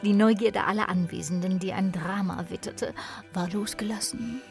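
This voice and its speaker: A woman's voice: medium pitch, fairly even intonation, normal speed, creaky, faint music behind. A woman narrating a literary radio play as one of its storytellers.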